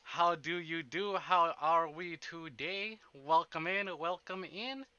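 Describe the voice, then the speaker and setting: A man with a very bright, forward voice pitched a little high hosts a livestream. He chats informally and rambles from point to point. The sound is dry and close, if slightly dull. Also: slow, clean tone, no room sound. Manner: informal, rambling, with mild swearing. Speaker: livestream host. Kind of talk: casual livestream chat.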